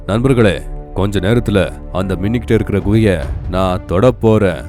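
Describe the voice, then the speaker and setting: A man's voice: fairly low pitch, moderate pace, darkish tone, soft background music, lightly acted. A man voicing a proud, commanding cartoon lion king with declamatory royal speech. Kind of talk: cartoon fable acting.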